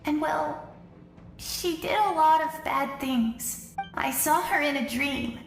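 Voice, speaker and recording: Very high-pitched little girl. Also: slow, vocal fry, roomy sound, far from the mic, soft background music, heavily acted. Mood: sad, hesitant. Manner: earnest, childlike. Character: sweet, brave little girl who died young. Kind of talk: video game voice acting.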